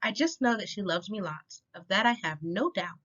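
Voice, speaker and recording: Expressive woman reading aloud, lively pitch. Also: moderate pace. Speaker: storytime reader. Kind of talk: children's picture book read-aloud. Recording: dull lo-fi sound.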